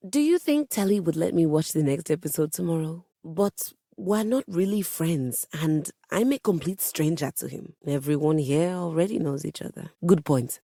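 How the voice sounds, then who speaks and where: A haughty piano personified as a lady composer for a video game. The voice sits on the lower side and is very dry, with no room around it. The delivery is pompous and theatrical, with precise formal wording and an awkward streak underneath. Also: mellow, no room sound. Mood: hesitant, wistful. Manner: pompous, melodramatic, formal diction. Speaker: video game character voice actor.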